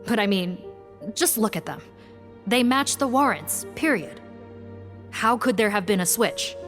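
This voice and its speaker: A sharp-tongued, lively guard. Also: female voice, mid-range voice, measured pace, soft background music. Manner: blunt, confident, lively delivery. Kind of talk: video game voice acting.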